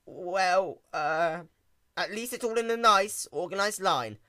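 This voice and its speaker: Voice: medium pitch, animated, slow, bright, forceful tone, dry recording, studio quality, pitched above the performer's own voice. A storyteller voicing a sheepish, nervous young male pony who stammers awkward excuses in a cartoon fan story.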